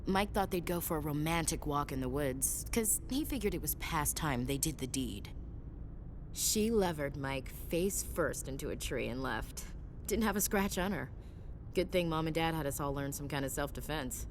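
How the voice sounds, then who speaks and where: Game role: down-to-earth female soldier, notably low pitch, frank informal talk, faint rumble behind. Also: animated, normal speed. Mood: earnest, proud. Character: tough, candid female soldier, protective big sister. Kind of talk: naturalistic video game dialogue acting.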